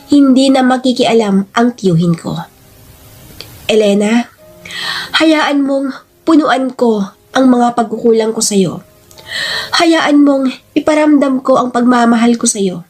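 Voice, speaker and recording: A woman's voice: high voice, darkish tone. A woman voicing a lovesick single man, a pushy former boyfriend pleading emotionally in a radio-style story drama.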